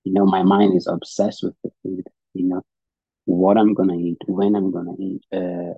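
Brazilian man, second language English, low husky voice, halting testimony; dull, dry recording. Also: little pitch movement, no room sound. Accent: Brazilian Portuguese. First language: Portuguese. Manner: halting, repeats words and restarts often. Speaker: speaker at a recovery meeting. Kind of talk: personal testimony and reading aloud.

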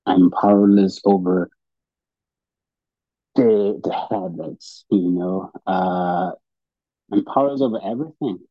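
Halting Brazilian-accented man, husky voice. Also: sits low, no echo. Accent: Brazilian Portuguese. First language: Portuguese. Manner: halting, repeats words and restarts often. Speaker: speaker at a recovery meeting. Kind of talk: personal testimony and reading aloud. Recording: dull lo-fi dry audio.

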